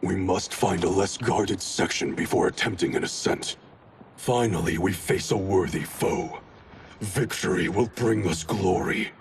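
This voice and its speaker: An actor playing a proud, cold, ambitious ninja grandmaster in a video game, haughty, stern and clipped. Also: male voice, sits low, measured pace, a little hiss or hum. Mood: proud, defiant. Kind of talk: dramatic video game cutscene acting.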